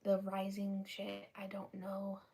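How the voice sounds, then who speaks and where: Young woman in her twenties, confessional vlog, husky breathy voice, slow drawl, halting and half-whispered, small room on a phone camera. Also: mid-range voice, monotone, dark tone. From United States. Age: 20s. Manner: halting, lots of ums and restarts, often half-whispered. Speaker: vlogger. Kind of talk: rambling personal confession to camera.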